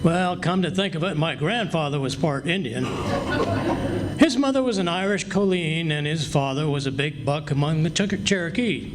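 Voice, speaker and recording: An actor playing a wheedling, argumentative husband in an old-time radio comedy. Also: male voice, normal speed, over a noisy crowd, lightly acted. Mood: blustering, wheedling. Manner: argumentative, theatrical. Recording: rumbly, noisy live stage recording.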